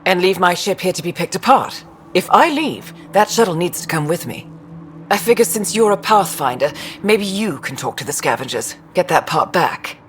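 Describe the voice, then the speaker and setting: Actress doing wry stranded scientist lady from a video game, expressive, pitch all over, bit low. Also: normal speed, slight background noise, lightly acted. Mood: rueful, wry. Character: stranded female field scientist, wry, brisk and enthusiastic. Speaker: scientist character.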